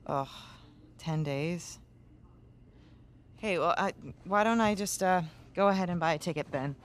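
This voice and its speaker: An actress playing a caring, worried American wife in a video game, gentle and conversational. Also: female voice, fairly low pitch, a little slow, lightly acted. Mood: concerned, tender.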